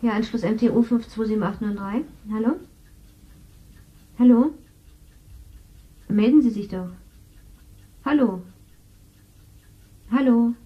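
A woman's voice: on the high side, takes it slowly, pauses often, lo-fi sound. An actress in her thirties playing a matter-of-fact medical assistant in a 1970s radio play. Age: 30s.

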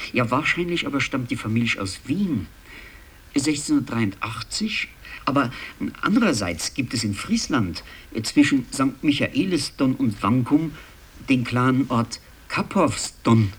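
A man playing a rambling, excitable land registry clerk and genealogy buff in a radio play, with a husky voice and a halting, insistent delivery. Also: mid-range voice, full character voice. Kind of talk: conversational radio play acting.

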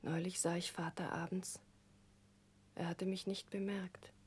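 A gentle young woman in a 1960s German radio drama. Her low voice is very husky with breath, almost hushed. She confides her worry earnestly and anxiously. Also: little pitch movement, unhurried. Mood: troubled, sorrowful.

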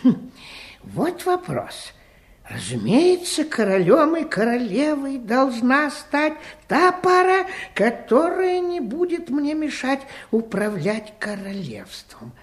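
A woman playing a scheming, flattering court minister in a theatrical fairy-tale audio play, fussy and ingratiating. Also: high-pitched, slow, full character voice. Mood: scheming, pondering. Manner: hesitant musing, theatrical, ingratiating.

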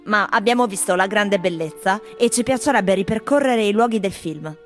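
Actress as expressive tourist, faint music behind. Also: female voice, medium pitch, normal speed, natural voice. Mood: dreamy, earnest. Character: foreign tourists in Rome, answering an interviewer. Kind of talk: scripted theatrical monologue in Italian radio drama.

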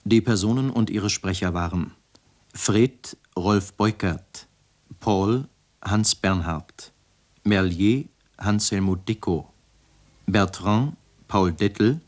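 A male radio announcer with a deep voice reads formally. He is measured and precise, taking his time over each item. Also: a little mellow. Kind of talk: formal scripted credits reading.

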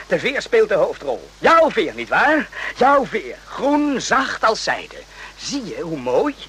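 An actor playing an agitated, outraged soldier in an emphatic radio drama style, repeating himself and swearing. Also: male voice, sits high, fairly bright tone, slight background noise, heavily acted, rough recording. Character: agitated, morally outraged soldier in the Vietnam War.

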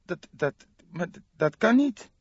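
A man's voice: sits high, sing-song, husky, no room sound, lo-fi sound, pitched above the performer's own voice, full character voice. A man voicing an agitated, indignant male heir who stutters and halts in an audiobook. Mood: shocked protest. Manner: stuttering, halting.

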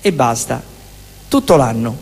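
A man's voice: medium pitch, expressive, unhurried, gravelly. A man performing wry, satirical storytelling, moving between spoken monologue and song in a conversational manner. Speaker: singer-songwriter and stage performer. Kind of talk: theatrical spoken monologue and sung storytelling songs with scat refrains. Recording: music throughout, plucked guitar. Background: acoustic guitar accompaniment.

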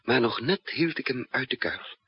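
An actor playing a bold, resolute hunted double agent in a 1960s radio drama, husky and emphatic. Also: male voice, medium pitch, normal speed, dry recording. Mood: narrating. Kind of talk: 1960s radio drama acting with first-person narration. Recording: dull, noisy, roomless 1960s radio recording.

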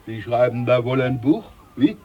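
A man's voice: medium pitch, expressive, normal speed, lo-fi sound, a little hiss or hum, close to an everyday voice. A man playing a jovial, scheming older ship's doctor in a German radio play, pompous, overfamiliar and emphatic.

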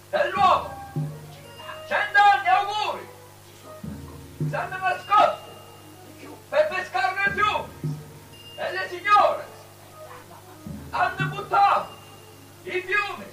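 An actor playing a wild prophet crying out doom in slow, chanting declamation, in a stylized radio drama. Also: male voice, very high voice, pushed and bright, heavily acted. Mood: chanting, ominous. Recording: echoing, distant voice over music.

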